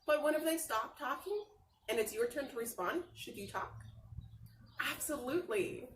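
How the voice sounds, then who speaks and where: A woman reading a picture book aloud like a teacher, talking to young children in a simple, encouraging, expressive way with drawn-out phrases. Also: high-pitched. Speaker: read-aloud storyteller and teacher. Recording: roomy echo, distant mic, faint noise.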